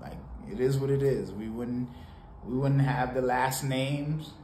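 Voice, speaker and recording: A man from Haiti giving casual, animated reaction commentary on a song in a small home studio, talking to the camera. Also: medium pitch, measured pace. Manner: animated, preachy, sprinkled with laughs and "you know". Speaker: music reaction host. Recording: roomy home studio with faint noise.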